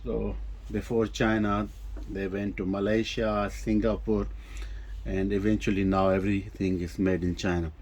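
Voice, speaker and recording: Casual man demonstrating gear, mellow voice. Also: on the low side, level tone, normal speed, a little hiss or hum. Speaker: presenter of a vintage audio gear demo. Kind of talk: casual hands-on product demonstration.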